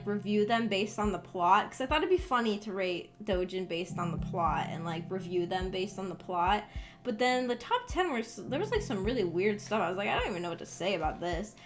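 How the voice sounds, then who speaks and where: A young woman chatting casually as a VTuber on a livestream, rambling in a giggly, hesitant way. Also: mid-range voice. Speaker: VTuber streamer. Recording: background music behind voice. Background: music.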